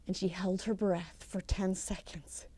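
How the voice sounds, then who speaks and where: A woman telling a folktale live as a professional storyteller, expressive and theatrical, with deliberate pauses and emphasis. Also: mid-range voice, husky, dry recording. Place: live storytelling session at a conference.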